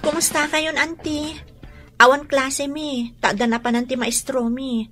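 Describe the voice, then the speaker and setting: Caring, courteous college-age Ilocano girl, slightly high register, radio drama. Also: flat delivery, a little slow, fairly bright tone, soft background music. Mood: polite. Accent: Ilocano Filipino. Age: young adult. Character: polite, caring young female student.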